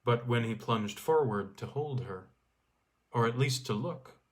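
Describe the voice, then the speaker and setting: A man's voice: medium pitch, measured pace. Man narrating a literary tale dramatically. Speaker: storyteller, audiobook reader.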